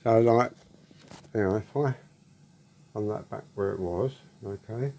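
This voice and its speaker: A man's voice: on the low side, a little slow, gravelly, warm tone, a little hiss or hum. A man giving a casual spoken demonstration, explaining step by step, repeating his points and checking with short tag questions. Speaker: presenter demonstrating an audio equipment fault.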